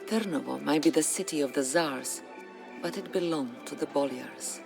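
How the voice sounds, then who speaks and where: An actor narrating a historical game campaign as a solemn storyteller, with grave, measured, dramatic delivery. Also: medium pitch, creaky, close to an everyday voice. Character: solemn storyteller chronicling a medieval Bulgarian uprising. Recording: music under the voice. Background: background music.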